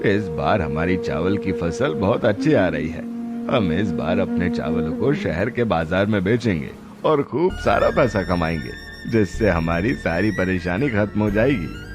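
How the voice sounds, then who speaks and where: A man's voice: sits low, expressive, a little slow, music in the background. A man playing a patient, hopeful poor village farmer who reassures his wife in a storybook tale. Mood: soothing, hopeful.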